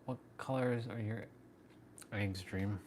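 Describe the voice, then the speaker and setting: A man's voice: fairly low pitch, fairly even intonation, slow, darkish tone, slight background noise. A Canadian-born man hosting a live stream, chatting casually as he cooks and answers viewer questions. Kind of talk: casual live-stream chat while cooking. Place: home kitchen.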